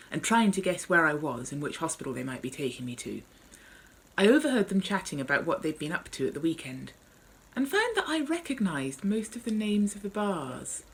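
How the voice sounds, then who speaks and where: A woman's voice: deep voice, breathy edge, rough recording. A woman author speaking and reading from her memoir in a wry, understated way.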